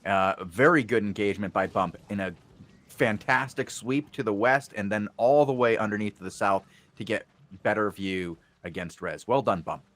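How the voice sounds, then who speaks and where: A man giving excitable live color commentary on an online game battle, alongside a co-caster. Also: normal speed. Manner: excitable, pitch jumps on big moments. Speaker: esports co-caster.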